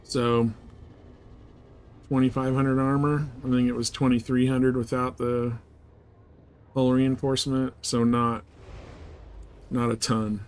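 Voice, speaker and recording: A man's voice: medium pitch, flat delivery, slow pace, pauses often, a little hiss or hum. A man chatting casually and informally as he hosts a live game stream, rambling in slangy language.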